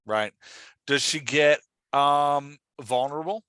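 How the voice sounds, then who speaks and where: A man with a bright, forceful voice speaks as a host and coach, recorded close and dry. He explains emphatically in a conversational style and often steers with leading questions. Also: on the high side, takes it slowly, clean tone, dry recording. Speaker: podcast host and writing coach.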